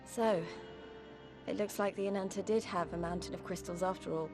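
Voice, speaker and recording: A woman's voice: mid-range voice, steady tone, fairly quick, over music, natural voice. An actress playing a fervent, plain-spoken young woman fighter in a video game, direct and heartfelt. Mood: rueful.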